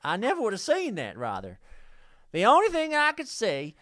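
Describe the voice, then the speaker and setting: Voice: medium pitch, huge pitch swings, normal speed, a bit bright, dry recording, clean studio sound. A podcast host reading listener mail aloud in a jokey, sweary way, often stumbling over the text.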